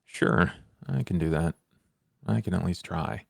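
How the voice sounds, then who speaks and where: Low, gravelly man, dryly recorded. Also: unhurried, no room sound, studio quality. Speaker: tabletop game player. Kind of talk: in-character roleplay, casual.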